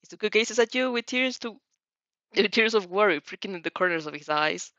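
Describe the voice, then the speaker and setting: A woman's voice: mid-range voice, lively intonation, a little fast, fairly bright tone, no room sound. Woman reading fanfiction, dull close recording. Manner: stumbles and rereads phrases. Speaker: fanfiction audiobook reader. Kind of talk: expressive amateur story reading.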